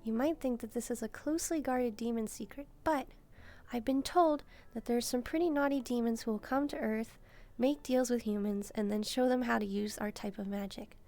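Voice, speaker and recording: A woman voicing a gentle, shy demon maid in a soft-spoken roleplay, speaking hesitantly as she muses aloud. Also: fairly high pitch, moderate pace, close to an everyday voice. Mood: musing, gentle. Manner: hesitant, timid, musing aloud. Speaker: roleplay video creator. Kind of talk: soft-spoken first-person roleplay, relaxing.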